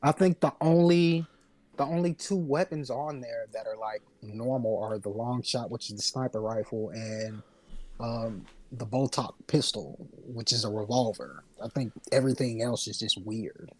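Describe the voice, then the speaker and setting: A man's voice: mid-range voice, normal speed, darkish tone. A man co-hosting a podcast, talking about games in an informal, rambling way with the odd swear word. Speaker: podcast co-host. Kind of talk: casual chat about video games.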